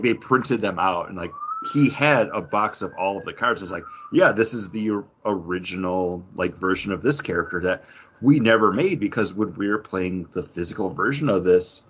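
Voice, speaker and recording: A man's voice: sits low, fairly bright tone, highs cut off, slight background noise. A man hosting a casual podcast chat about games, talking in an enthusiastic, rambling way. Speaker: podcast host.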